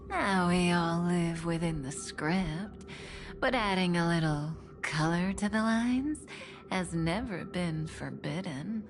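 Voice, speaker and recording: An actress playing a calm, enigmatic mentor in a video game, speaking softly and tenderly in a measured way. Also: female voice, lowish voice. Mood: gentle, assured. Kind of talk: scripted game voice acting. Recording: music behind the voice. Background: clear background music.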